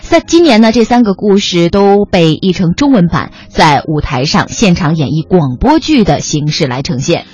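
A woman's voice: lowish voice, big pitch swings, normal speed, few pauses, darkish tone, slightly rough, muffled recording. A woman co-hosting a radio programme in mainland standard Mandarin, conversational, reflective and agreeable. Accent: mainland Chinese standard Mandarin. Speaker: radio co-host.

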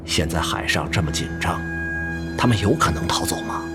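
An actor playing a brotherly but stern army officer in a Chinese radio drama, with emphatic, expressive delivery. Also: male voice, low voice, normal speed, music in the background. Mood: stern, warning. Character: hearty older army officer, brotherly yet stern male friend.

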